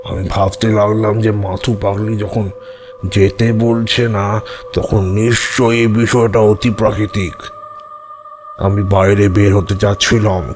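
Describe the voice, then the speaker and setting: A man playing an old tantric who recounts eerie memories in a deliberate, measured way for an audio horror drama. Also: fairly low pitch.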